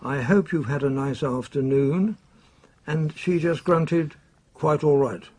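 An actor with a British accent playing an earnest, proper official in a radio drama, brisk and formal in manner. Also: male voice, on the high side, steady tone, a little mellow, slightly rough. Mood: earnest, reporting. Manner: brisk, formal, old-fashioned British exclamations.

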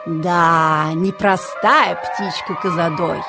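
An actress playing a calm, gently teasing mother hedgehog in a children's audio play, explaining things patiently and tenderly. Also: lowish voice, takes it slowly, over loud music. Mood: musing.

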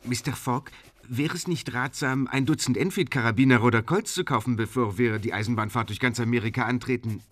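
A man's voice: medium pitch, a little fast, fairly bright tone, close to an everyday voice. Devoted, easily indignant manservant for audio drama, played by a man: middle register, formal, cautious. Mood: worried. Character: loyal, indignant, wary male manservant. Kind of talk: German audio drama acting.

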